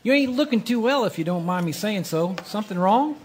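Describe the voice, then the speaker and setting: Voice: medium pitch, animated, a little fast, a little mellow, natural voice. A performer voicing a folksy, nosy male grocer in a live recreation of a 1940s radio mystery, with a rural turn of speech.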